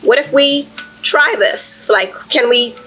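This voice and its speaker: Woman panelist with a bright, crisp voice. Casual and chatty, full of fillers. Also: sits high, slow, faint music behind, rough recording. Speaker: panelist, archive founder. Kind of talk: conference panel discussion. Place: conference session room.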